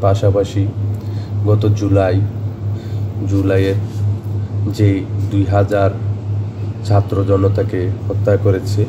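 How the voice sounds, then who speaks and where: A man with a Bangladeshi accent giving an earnest political statement in deliberate, halting phrases. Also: low voice, completely flat delivery, background noise.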